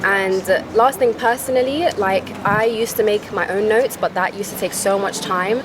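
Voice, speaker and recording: A woman's voice: medium pitch, normal speed, background noise. A young woman, a medical student, giving study tips in a casual, chatty way. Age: young adult. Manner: chatty, many "like" fillers. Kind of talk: casual interview answer.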